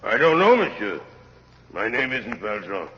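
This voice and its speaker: A man's voice: medium pitch, big pitch swings, unhurried, sharp tone. An actor playing a simple, frightened male prisoner in an old radio drama, stammering and pleading in agitation. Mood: frightened, desperate. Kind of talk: 1930s radio drama acting. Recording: echoing, muffled, hissy old radio recording.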